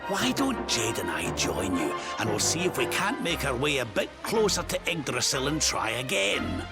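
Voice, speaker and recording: Old game mentor: gravelly, swooping storyteller's delivery, doughty and fatherly, music loud behind. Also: medium pitch, very animated, normal speed. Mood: encouraging, kindly. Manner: dramatic, weighty storytelling with folksy turns. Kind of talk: video game cutscene acting.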